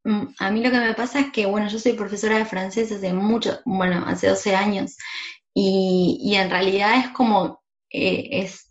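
A woman's voice: medium pitch, steady tone, normal speed. Lady, hesitant, lots of fillers, kinda dull audio. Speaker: French teacher. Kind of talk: casual group discussion.

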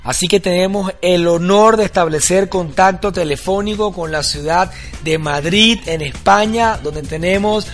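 A man with a fairly high speaking voice reads a motivational training script, earnest and instructive. Soft music plays faintly underneath. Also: moderate pace. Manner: earnest, instructive, building to an eager introduction. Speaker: training host.

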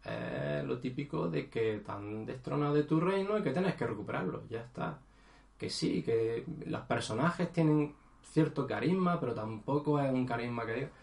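A man's voice: mid-range voice, animated, normal speed, gravelly, a little mellow, echoey room. A man chatting casually about video games as a podcast co-host, hesitant and full of fillers. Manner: rambling, hesitant, many fillers. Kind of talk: casual gaming chat.

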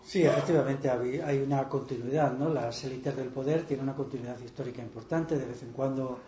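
Male lecturer, calm and explanatory, dark mellow tone, roomy dull hall sound. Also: medium pitch, fairly quick, a little hiss or hum. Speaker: lecturer giving the talk. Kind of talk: measured lecture reply.

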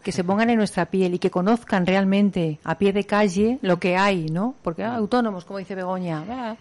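A woman's voice: mid-range voice, normal speed. A woman hosting an interview, chatty and encouraging, often adding short affirmations. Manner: warm, encouraging, many affirmations. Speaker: interview host. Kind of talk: conversational interview.

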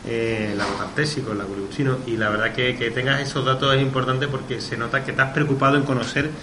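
A man's voice: mid-range voice, fairly even intonation, fast. Man hosting a radio interview. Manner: friendly, encouraging, restarts often. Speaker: radio host. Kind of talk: radio interview about wine. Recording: roomy studio with background noise.

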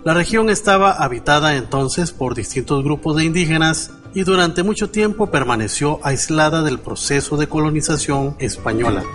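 Higher-voiced man hosting radio over music. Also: animated, normal speed, hardly any pauses. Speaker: radio host. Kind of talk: scripted radio narration and presenting.

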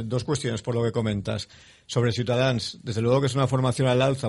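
A man from Spain, with a gravelly, fry-tinged voice, analyzes politics on a radio panel. He speaks in a measured way, working through his points with the occasional restart. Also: medium pitch, a little mellow. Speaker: political commentator. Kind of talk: panel debate. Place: radio studio.

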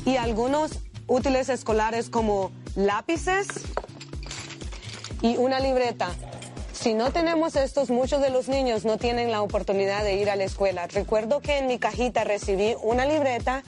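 A woman's voice: fairly high pitch, moderate pace. A woman from Honduras, presenting a scripted instructional charity video. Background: background music.

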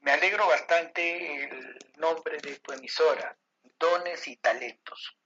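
A man speaks as a radio interview guest in a measured, appreciative, conversational way. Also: on the high side, pushed and bright, breathy edge. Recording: dull lo-fi remote audio.

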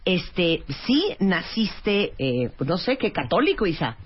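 A woman's voice: on the low side, sing-song, takes it slowly, husky. A woman hosting a radio interview, chatty and playful. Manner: chatty, playful, lots of backchannel. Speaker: radio host. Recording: dull lo-fi radio sound.

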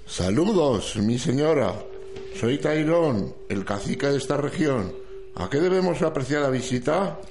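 Hombre interpretando a un cacique respetuoso, con algo de ruido. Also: male voice, medium pitch, expressive, a little slow, a little mellow. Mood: respectful. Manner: formal, deferential. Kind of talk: amateur radio drama acting. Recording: background noise.

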